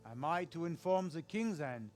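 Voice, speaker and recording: An actor playing a haughty noble knight and royal envoy in a video game, declaiming in a formal manner. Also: male voice, fairly high pitch, lively intonation, normal speed, a little mellow, soft background music, natural voice. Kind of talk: scripted video game cutscene acting.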